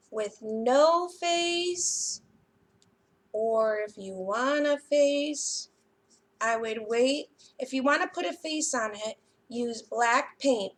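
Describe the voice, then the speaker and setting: High-pitched, unhurried woman hosting children's story time. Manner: sing-song, expressive, addressing young children. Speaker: library story time host. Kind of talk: children's picture book reading and craft demonstration.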